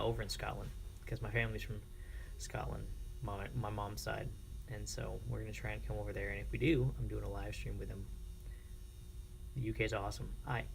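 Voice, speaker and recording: Gravelly American man chatting on a livestream. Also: on the low side, a little hiss or hum, rough recording. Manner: informal, chatty, reads and reacts to viewer comments. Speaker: live streamer. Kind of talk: casual livestream chat with viewers.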